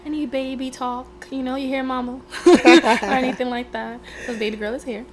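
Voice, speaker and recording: A woman's voice: high voice, fairly even intonation, normal speed, crowd noise. A woman hosting a podcast interview. She is under the weather and talks in a casual, friendly way with plenty of fillers. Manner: friendly and chatty, with frequent fillers. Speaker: podcast host.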